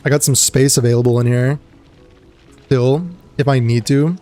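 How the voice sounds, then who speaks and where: A man streaming a game, his voice a little gravelly and dry today, rambling casually and greeting viewers between trailing fillers. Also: medium pitch, fairly even intonation, normal speed, mellow, soft background music. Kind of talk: casual live-stream chat.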